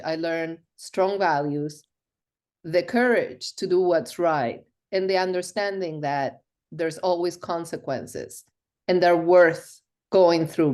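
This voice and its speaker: Woman telling stories, low voice. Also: a little mellow. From Argentina. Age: middle-aged. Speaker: podcast guest. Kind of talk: storytelling interview.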